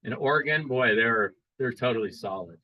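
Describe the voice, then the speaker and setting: Halting man giving a radio club demo. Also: medium pitch, lo-fi sound. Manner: casual, halting, many fillers and restarts. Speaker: club presenter at a ham radio meeting. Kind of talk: live demo explanation. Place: club meeting room.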